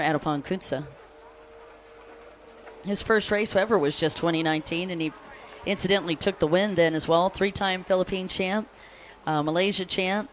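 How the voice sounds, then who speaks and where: A woman's voice: on the low side, a little hiss or hum. An American woman giving live race commentary, chatty and upbeat, calling out riders and greeting fans between lap updates. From United States.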